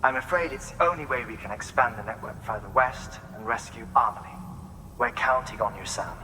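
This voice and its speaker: An actor playing a cerebral, measured, eccentric male scientist in a video game, speaking precisely and formally. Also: mid-range voice, bright, forceful tone, a little hiss or hum, close to an everyday voice, low-quality recording. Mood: earnest briefing. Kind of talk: video game cutscene acting.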